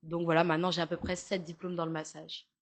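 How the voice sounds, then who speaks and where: A woman's voice: lowish voice, level tone, quick pace. A young woman with a Parisian French accent, a massage therapist chatting frankly in an interview, with many fillers and jokes. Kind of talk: casual interview chat.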